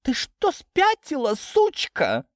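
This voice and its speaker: A man's voice: a little high, sing-song, normal speed, no echo, studio quality, voice pushed up. A man voicing a furious, spiteful middle-aged wife who shrieks and snaps with contempt in an audiobook drama. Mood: outraged.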